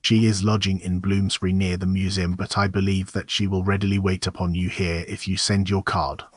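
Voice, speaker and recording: A man's voice: low voice, little pitch movement, a little fast, no echo. A man playing a dignified, anxious Victorian diplomat in an audiobook drama, stiffly formal and polite. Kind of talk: dramatised audiobook character acting.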